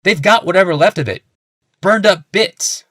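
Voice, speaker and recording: A man voicing a weary, muzzy young healer in an audiobook, defensive and pitched higher. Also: moderate pace, fairly bright tone, no room sound, studio quality.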